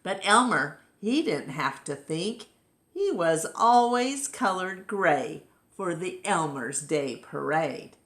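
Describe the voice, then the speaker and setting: A woman's voice: fairly low pitch, animated, takes it slowly, a bit bright, reverberant. A woman narrating a children's picture book expressively as a storytime reader.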